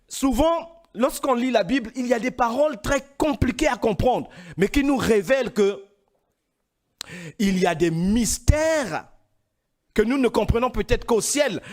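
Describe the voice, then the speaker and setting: A man preaching a sermon, from Congo, emphatic and rising into louder, higher passages.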